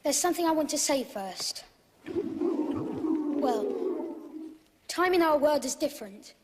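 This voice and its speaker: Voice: high voice, lively intonation, takes it slowly, background noise. A performer playing an earnest, loyal schoolboy adventurer who speaks up forthrightly in an audio drama. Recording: long cavernous reverb.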